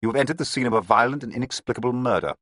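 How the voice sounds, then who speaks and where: A man's voice: low voice, expressive, brisk, no room sound, clean studio sound. A man voicing a stern, authoritative British police detective in an audiobook. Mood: commanding. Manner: forceful, authoritative.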